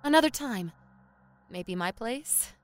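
A woman's voice: on the high side, expressive, takes it slowly, dry recording. An actress playing a wary young woman in an audio horror drama, terse, reluctant and skeptical. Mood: uneasy. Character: guarded, wary young woman haunted by childhood fear.

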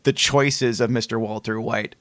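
A man's voice: mid-range voice, fairly even intonation, moderate pace, fairly bright tone, no echo. A man chatting casually as a podcast host interviewing a guest.

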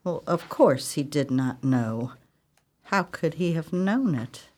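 A woman's voice: sits low, expressive, slow, a little mellow, exaggerated voice. A woman playing a stern, dutiful spinster sister in an old-fashioned gothic radio drama, solemn and formal in delivery. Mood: indignant.

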